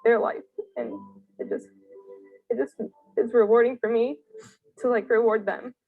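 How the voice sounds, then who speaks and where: Soft-spoken young woman, thin phone-like sound. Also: fairly high pitch, level tone, measured pace, creaky, soft background music. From Ohio. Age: young adult. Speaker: camp counselor. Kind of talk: testimonial interview.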